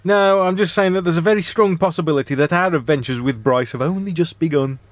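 A man's voice: on the high side, quick pace, no room sound, natural voice. A man playing an anxious, exasperated straight man in a comedy audio sketch, dry and incredulous. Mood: matter-of-fact.

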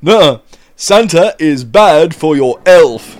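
A man's voice: a little high, expressive, slow pace. A man voicing a greedy, scheming mad doctor with a theatrical, smug manner. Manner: theatrical and sing-song, smug.

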